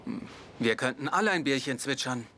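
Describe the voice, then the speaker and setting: A man's voice: medium pitch, animated, a little fast, noisy background, natural voice. A man dubbing an awkward, hopeful young man in love, earnest and self-mocking, in a German sitcom dub. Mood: sheepish. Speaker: dubbed sitcom lead.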